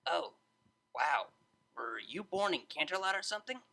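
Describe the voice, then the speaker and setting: A man plays a young female unicorn DJ from a storybook, easygoing and sure of herself. The talk is slangy and casual, with a bright edge and swinging intonation. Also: a little high, very animated, normal speed, vocal fry, heavily acted. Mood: curious, casual.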